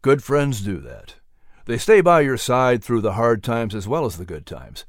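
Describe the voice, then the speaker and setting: Storybook role: gentle colonial preacher, a kindly man, mid-to-low pitch, close dry sound, acted by a narrator. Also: sing-song, moderate pace, no room sound, lightly acted. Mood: warm, friendly. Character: calm, kindly colonial minister, gentle male mentor.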